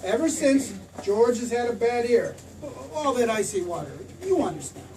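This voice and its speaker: A patient, heavenly storyteller played by an actor narrates an old-time radio play. The voice comes from some distance, with clear noise over the recording. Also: mid-range voice, sing-song, moderate pace, mellow, lightly acted. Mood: explanatory. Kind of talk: scripted radio drama narration. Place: theater stage.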